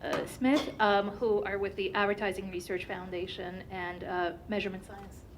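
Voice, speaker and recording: A woman whose first language is Turkish, giving a conference presentation in an animated way with many fillers. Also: medium pitch, normal speed, slight background noise.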